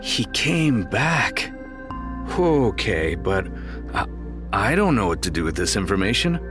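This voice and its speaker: Slow, hesitant lonely man, acted out by a man. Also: lowish voice, very animated, music in the background. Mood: troubled, overwhelmed. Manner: hesitant, halting. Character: disconnected, lonely male witness haunted by strange sightings. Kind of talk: audio drama acting.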